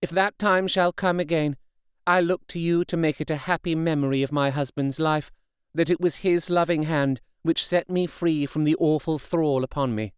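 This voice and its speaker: A man's voice: a little high, steady tone, moderate pace, smooth, no room sound, studio quality, pitched above the performer's own voice, natural voice. A man voicing a devoted young wife in an audiobook, speaking with earnest, tender pleading. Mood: solemn pleading. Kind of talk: audiobook character reading.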